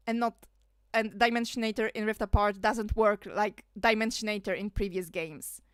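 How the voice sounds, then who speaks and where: A woman's voice: on the high side, fairly bright tone, smooth, no echo, clean studio sound. Rambling woman with fillers. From Poland. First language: Polish. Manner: rambling, laughing, many fillers. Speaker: streamer. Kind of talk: casual livestream commentary.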